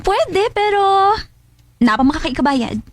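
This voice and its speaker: An actress playing a bold, playful young bar escort with a Filipino Cebuano accent, frank and teasing, in a radio drama. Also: female voice, very high voice, lively intonation, a little slow, background noise. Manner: teasing, giggly, matter-of-fact. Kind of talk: Bisaya radio drama acting. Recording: radio drama over prominent music. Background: prominent background music.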